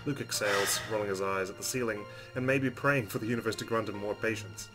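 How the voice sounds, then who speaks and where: Fast-talking low-voiced man reading a story. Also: low-quality recording. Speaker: let's play host reading game narration. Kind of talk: expressive reading of story narration. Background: game music.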